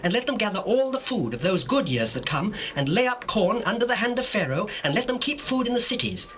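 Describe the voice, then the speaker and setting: An actor playing a stern, authoritative young governor of Egypt, declaiming formally in a scripture drama. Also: male voice, sits high, very animated, a little fast, fairly bright tone. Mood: earnest counsel. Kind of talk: classical dramatized scripture reading. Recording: faintly noisy old cassette with ambience.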